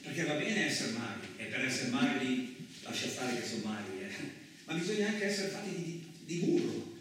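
A very reverberant, noisy recording, picked up from a distance, carries a seasoned truck driver. He tells his tale like theatre, rough and talkative, rambling along with colloquial asides and rhetorical questions. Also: mid-range voice, sing-song, normal speed, fairly bright tone. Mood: grumbling, incredulous. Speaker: actor performing a radio theatre monologue. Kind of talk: colloquial theatrical monologue, storytelling.

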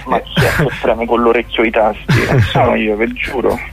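Roman man in casual, halting chat. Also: mid-range voice, steady tone, vocal fry, slight background noise, rough recording. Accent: Roman Italian. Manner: colloquial, joking, halting with repeats. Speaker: interview guest, film director. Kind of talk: casual phone-in radio chat.

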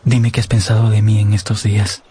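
A man's voice: lowish voice, flat delivery, brisk, dark tone, slight background noise, lightly acted. An actor playing an earnest, lovesick young man who narrates his romance in a radio drama. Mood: tender, pleading. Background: prominent music.

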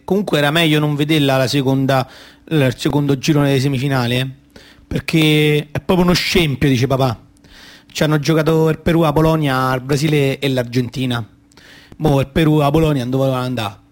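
A man's voice: on the high side, natural voice. An actor with a Roman accent playing a naive, football-mad little boy who rambles and repeats himself in a colloquial radio theatre monologue. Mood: chatty, opinionated. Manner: rambling, repeats phrases and quotes his dad.

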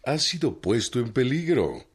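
An actor playing an elderly Mexican president in a historical radio drama, grave, pompous and self-assured, with a husky voice and a Mexican accent. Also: male voice, medium pitch, very animated. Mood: solemn, self-assured. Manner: deliberate, stately, pompous.

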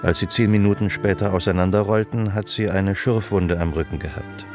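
A man narrating a radio drama in a measured, literary storytelling manner. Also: low-pitched, fairly even intonation, music in the background.

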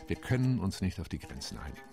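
A man playing a measured committee delegate. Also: deep voice, big pitch swings, creaky, music in the background, full character voice. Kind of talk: radio play monologue.

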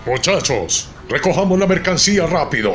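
An actor playing a commanding sailor on a cargo ship in an audio drama, calling out drawn-out orders. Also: male voice, on the high side, very animated, fairly bright tone, faint music behind. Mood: commanding, hurried. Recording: clear background ambience noise.

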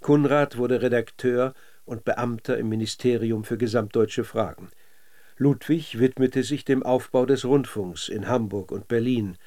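Measured male radio documentary narrator. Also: medium pitch, dark tone. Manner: measured, literary delivery.

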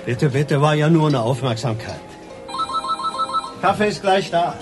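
Radio play bit part: eager-to-please innkeeper, fairly high voice, music playing behind. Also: male voice, animated, measured pace. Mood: ingratiating. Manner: ingratiating. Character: obliging, smooth-talking tavern landlord. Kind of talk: radio crime drama acting.

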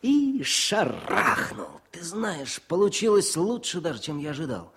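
An actor playing a modest, plucky wounded war correspondent, telling his story in halting colloquial bursts with a husky voice in a 1970s Soviet radio play. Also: a little high, huge pitch swings, fairly bright tone, exaggerated voice. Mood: animated recollection.

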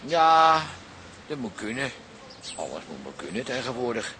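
Animated, disapproving protective father. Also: mid-range voice, moderate pace, a little hiss or hum. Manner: hesitant, old-fashioned phrasing. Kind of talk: radio comedy drama acting.

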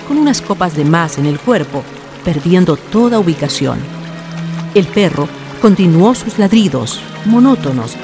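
Narrator, formal, literary horror storytelling, medium pitch, prominent music bed. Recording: music behind the voice.

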